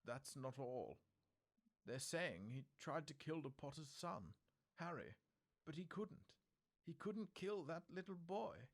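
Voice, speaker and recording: A man voicing an anxious, indignant older female witch professor in a storybook, with a shrill, strained voice and emphatic delivery. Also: sing-song, slow pace, exaggerated voice. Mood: distressed, trembling. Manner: emphatic, agitated.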